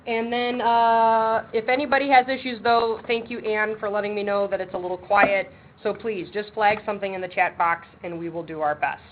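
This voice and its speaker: Woman chairing a webinar, brisk and practical, heard over a thin phone line with faint hiss. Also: mid-range voice, steady tone. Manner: says she has a loud voice; brisk, practical, with frequent ums. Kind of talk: webinar presentation. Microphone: speakerphone on a table. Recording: tinny phone line with faint background noise.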